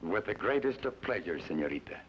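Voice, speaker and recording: A silky bandit captain with a Mexican accent. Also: male voice, sits low, big pitch swings, brisk. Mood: mocking polite. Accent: put-on Mexican Spanish. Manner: mocking courtesy. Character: suave menacing male bandit captain. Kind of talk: 1950s radio drama acting. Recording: muffled old radio broadcast with hiss.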